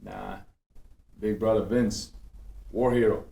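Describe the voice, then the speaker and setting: A man's voice: lowish voice, steady tone, slow pace, mellow. A man reading a casual young gangster who tells stories conversationally, in a script table read with an echoey, distant sound. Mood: reflective, casual. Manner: conversational storytelling. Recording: echoey room, distant microphone.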